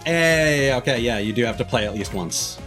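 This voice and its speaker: A man's voice: medium pitch, animated, normal speed. Sweary male game streamer over music. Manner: sweary, rambling. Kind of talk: casual gaming livestream commentary.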